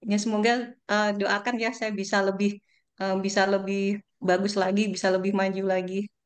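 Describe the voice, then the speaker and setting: A woman course participant sharing her experience on a video call, hesitant and halting with many fillers. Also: mid-range voice, little pitch movement. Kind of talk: casual testimonial.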